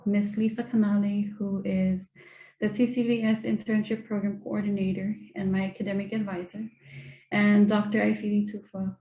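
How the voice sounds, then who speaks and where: A young woman from Samoa, a graduate student, giving a formal academic presentation in a careful, measured way. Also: mid-range voice, dark tone. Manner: careful, measured delivery with occasional ums. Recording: dull, roomy recording.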